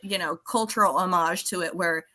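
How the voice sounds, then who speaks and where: A middle-aged woman co-hosting a casual interview, chatty and joking with quick asides. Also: on the low side, steady tone, pushed and bright. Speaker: podcast co-host.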